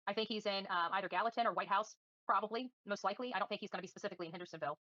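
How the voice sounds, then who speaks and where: A woman's voice: mid-range voice, rapid-fire, sharp tone, dry recording, lo-fi sound. A woman livestreaming commentary and answering viewers in a combative, defiant, scornful manner. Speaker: livestream host.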